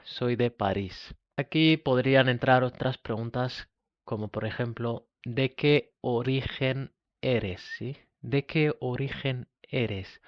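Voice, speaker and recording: A man from Spain teaching a beginner Spanish lesson, slowly repeating each example phrase. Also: medium pitch, no room sound, dull audio.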